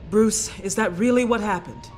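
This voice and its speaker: Woman as a calm strict lady psychiatrist in a video game, measured and in charge, music behind her. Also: mid-range voice, close to an everyday voice. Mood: stern. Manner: measured, authoritative. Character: composed, firm female head psychiatrist at an asylum.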